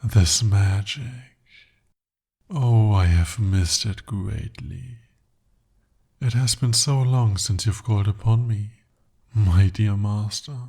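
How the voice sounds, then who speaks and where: A man's voice: lowish voice, creaky, darkish tone, slightly rough, heavily acted. A man voicing a devoted, possessive male demon in an ASMR roleplay, speaking formally and intimately. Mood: tender devoted. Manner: formal, intimate, slow-spoken address.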